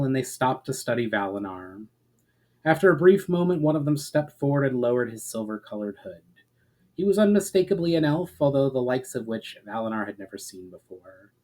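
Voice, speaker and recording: A man reading fantasy fiction aloud as a dramatic narrator, deliberate, with emphatic phrase openings that fall away at the ends. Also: medium pitch, darkish tone. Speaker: author reading own story aloud.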